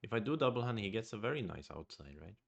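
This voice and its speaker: A man's voice: lowish voice, big pitch swings, quick pace, gravelly, highs cut off. A man with a Swedish accent streams his go game, thinking aloud in a hesitant, musing way. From Sweden. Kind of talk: live-streamed game commentary.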